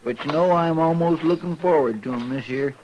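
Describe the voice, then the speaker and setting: An actor playing a simple, good-natured frontier sidekick with a rural American drawl and a folksy, deferential manner, in a 1950s radio western. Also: male voice, a little high, darkish tone, highs cut off, natural voice. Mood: easygoing. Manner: folksy, slow-witted, deferential, rambling. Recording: noisy vintage 1950s radio recording.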